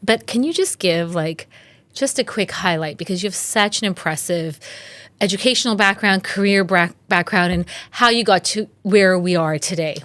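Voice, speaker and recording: A woman's voice: medium pitch, moderate pace, fairly bright tone, no room sound. A woman in her forties, pregnant, hosting a podcast interview in an enthusiastic, conversational manner and laughing easily. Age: 40s. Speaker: podcast host.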